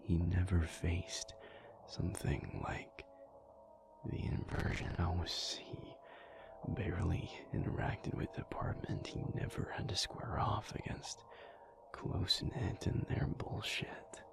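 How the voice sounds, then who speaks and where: A man playing a thoughtful, weary alpha werewolf in an intimate audio roleplay, speaking softly and breathily in a halting, confiding way. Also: steady tone, heavily acted. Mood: pensive, weary. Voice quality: breathy, half-whispered, low and gravelly. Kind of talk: intimate audio roleplay, soft-spoken ASMR monologue.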